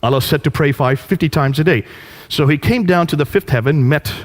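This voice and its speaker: Man lecturing with lively storytelling. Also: on the high side, brisk. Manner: animated storytelling with rhetorical questions to the audience. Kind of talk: lecture to a live audience.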